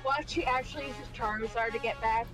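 A woman's voice: on the high side, steady tone, a little fast, over music. A woman co-hosting a reaction show, giving blunt, exasperated, casual commentary on a film.

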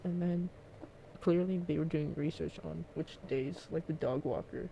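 A man's voice: a little high, fairly even intonation, gravelly, warm tone, slight background noise, low-quality recording. A young man from the Philippines co-hosting a casual chat podcast, playful and teasing. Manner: playful, teasing, quick to laugh. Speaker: podcast co-host.

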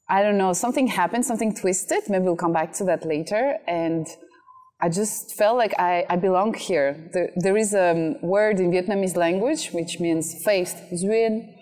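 A woman's voice: lowish voice, a little mellow. A cheerful woman in her thirties, born in Russia and raised in France, chatting as an interview guest outdoors at a villa and laughing often. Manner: cheerful, laughs often, speaks in short phrases. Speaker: guest, MC and content creator. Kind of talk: casual interview chat.

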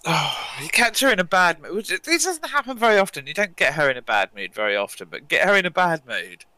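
A woman, co-hosting a podcast in casual chat, playful, quick to laugh and happy to swear. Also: on the low side, very animated, pushed and bright, dry recording, low-quality recording. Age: 40s. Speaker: podcast co-host.

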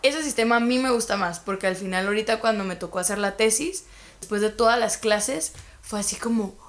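A woman's voice: medium pitch, brisk. A woman in her twenties from Guatemala with a Guatemalan Spanish accent, chatting casually as a podcast guest. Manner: chatty, animated storytelling with quoted asides. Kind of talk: casual chat.

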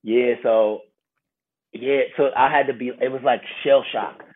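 An American man telling stories casually as a guest, slangy and animated in the way he acts out little exclamations. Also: mid-range voice, a little slow. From Milwaukee, United States. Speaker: podcast guest, travel series host. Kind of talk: casual conversational storytelling. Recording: thin phone-like call audio.

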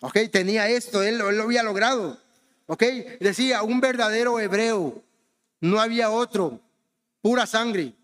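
A man's voice: high voice, normal speed, a bit bright, in a large hall. A man from Honduras preaching a sermon in a conversational way, often turning to the congregation with questions. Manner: conversational preaching, often asks the congregation "¿verdad?" and says "hermanos".

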